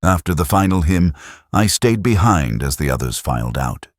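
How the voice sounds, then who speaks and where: A man playing a weary, melancholy middle-aged detective, narrating his story in a measured, reflective, literary way. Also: deep voice, big pitch swings, dry recording, studio quality. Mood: pensive. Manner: measured, brooding, literary delivery. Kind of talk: scripted first-person detective story narration.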